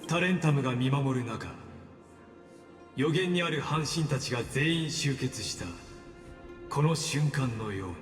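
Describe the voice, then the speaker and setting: A man's voice: mid-range voice, animated, a little fast, long pauses, natural voice. A man voicing a polite, ceremonious game narrator who ushers the listener through a fated tale in formal speech. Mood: solemn, courteous. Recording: echoey long hall reverb, game music.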